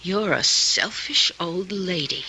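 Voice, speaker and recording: Young female drifter in vintage radio thriller: menacing, commanding, mocking, very animated and deliberate in pace. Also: lowish voice, exaggerated voice. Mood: angry, domineering. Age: young adult. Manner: theatrical, commanding, taunting. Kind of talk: old-time radio drama acting. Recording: noisy old radio drama recording.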